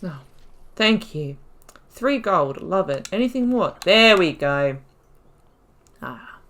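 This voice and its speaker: A woman's voice: fairly low pitch, lively intonation, slow, a bit bright. Chatty woman commentating on a game. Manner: chatty, self-deprecating. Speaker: video game streamer. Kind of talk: casual gameplay commentary.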